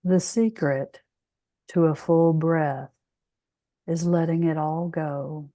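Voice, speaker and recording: A woman guiding a meditation in a soothing, measured way, leaving long pauses between phrases. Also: sits low, steady tone, warm tone, no echo. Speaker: meditation guide. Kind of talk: guided meditation.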